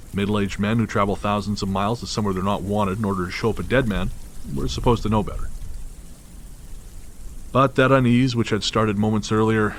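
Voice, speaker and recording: A man narrating a scripted first-person horror story in a measured, literary storytelling manner. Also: lowish voice, a little hiss or hum.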